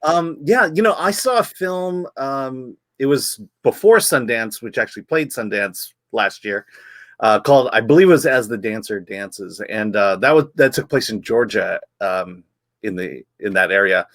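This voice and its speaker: A man's voice: medium pitch, lively intonation, moderate pace, a bit bright, no echo. Hesitant man hosting a film review. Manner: hesitant, many ums and repeats. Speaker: film magazine editor and host. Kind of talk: casual review chat.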